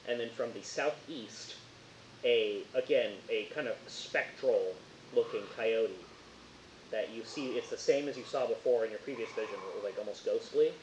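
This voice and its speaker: A man improvising narration as a tabletop horror game master, casual and halting as he searches for words. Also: medium pitch, a little mellow. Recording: roomy, dull, hissy home recording.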